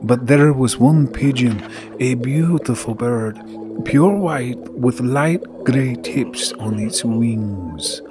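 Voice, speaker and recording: An actor playing Nikola Tesla as an aging, lonely inventor, tender, reflective and wistful, in a radio drama monologue. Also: male voice, mid-range voice, animated. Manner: slow, reflective, reverent. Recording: music loud behind the voice.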